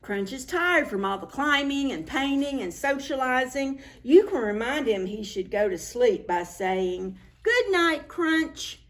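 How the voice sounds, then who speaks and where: A woman hosting a preschool story time, reading picture books aloud in an expressive, sing-song way and speaking directly to children. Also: on the high side, a little slow, few pauses.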